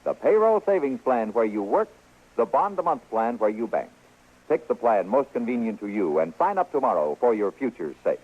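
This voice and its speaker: A man's voice: medium pitch, big pitch swings, a little hiss or hum. A man with an American accent reading a scripted old radio announcement in a formal, persuasive way. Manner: formal, persuasive, deliberate phrasing. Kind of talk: scripted vintage radio public-service announcement. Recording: tinny, phone-like old radio recording.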